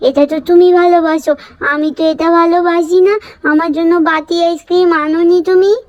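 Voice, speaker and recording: Little girl doll, extremely high voice, childish, whiny, talkative, demanding, storybook cartoon. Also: flat delivery, a little fast, lo-fi sound. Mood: complaining.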